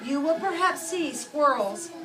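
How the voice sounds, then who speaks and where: A woman's voice: sits high, expressive, unhurried. A woman preschool teacher reading aloud to young children and guiding them with simple, repeated phrases and questions. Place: preschool classroom. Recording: distant mic in noisy children's classroom. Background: loud chatter of young children.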